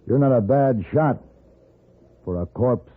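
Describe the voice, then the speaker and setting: An actor playing a cool, decisive gentleman gunfighter in a radio western, speaking in a clipped, authoritative way. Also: medium pitch, lively intonation, takes it slowly, slightly rough. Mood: tense, cool. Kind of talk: 1950s radio western acting. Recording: narrow-band old radio, faint hiss.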